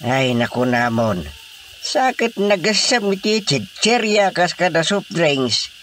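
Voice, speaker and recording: Older village husband played by a man, northern Philippine accent, very animated pitch, drawling delivery, opinionated, faint noise behind. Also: slow pace, exaggerated voice. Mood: concerned. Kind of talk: radio drama comedy acting. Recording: faint ambient background noise.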